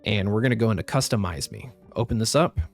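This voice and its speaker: A man with a lowish, slightly raspy voice talks through each step of a computer tutorial in a plain, practical way. His throat is still a bit rough from a recent flu. Faint music plays quietly behind his voice-over. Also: lively intonation, fast talker. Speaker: tutorial host. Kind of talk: screen-recorded software tutorial.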